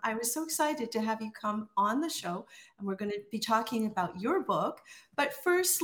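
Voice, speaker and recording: A woman's voice: medium pitch, a bit bright. A woman hosting a writing podcast, welcoming a guest and asking about her journey with enthusiasm. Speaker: podcast host. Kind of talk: interview host chat.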